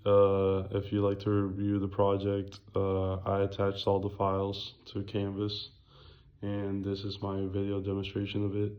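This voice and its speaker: Young man, raspy low monotone, hesitant presenter. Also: highs cut off. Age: young adult. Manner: hesitant, halting, self-correcting. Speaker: student presenter. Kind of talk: informal project presentation.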